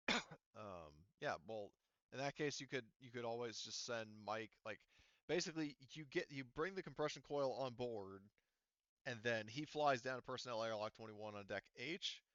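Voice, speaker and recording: A man working as game master, talking casually and wordily, thinking aloud and halting as he narrates a tabletop game. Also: medium pitch, fairly bright tone, clean tone, no echo, lo-fi sound.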